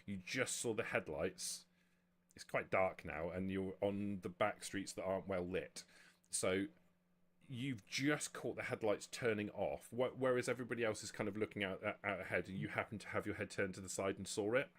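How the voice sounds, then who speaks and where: A man running a tabletop game as game master, improvising narration in a halting way with repeated words. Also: lowish voice. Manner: halting, frequent stammered word repeats.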